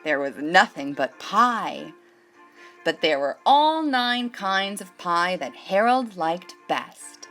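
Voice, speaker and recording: Female storytime host for young kids, unhurried, highly animated delivery. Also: soft background music. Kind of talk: expressive picture-book read-aloud for children.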